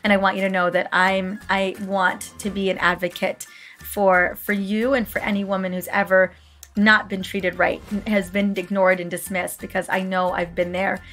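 A woman hosting a podcast interview, her voice raspy and hoarse from a book tour, talking in an effusive, gushing way. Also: mid-range voice, flat delivery, moderate pace, a bit bright, soft background music. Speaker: podcast host.

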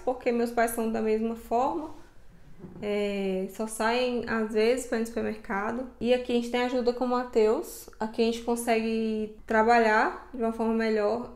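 Brazilian female vlogger, slightly high register, conversational and colloquial, roomy indoor sound. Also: darkish tone. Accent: Brazilian Portuguese. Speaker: travel vlogger. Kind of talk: casual chat to camera. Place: indoor living room, seated on sofa. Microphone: lapel mic.

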